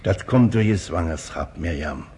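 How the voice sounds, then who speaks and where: Confident professor dad, really deep lively voice, lectures. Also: male voice, moderate pace, slight background noise. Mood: reassuring. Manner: reassuring, lecturing. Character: self-assured man professor, father. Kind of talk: radio drama acting.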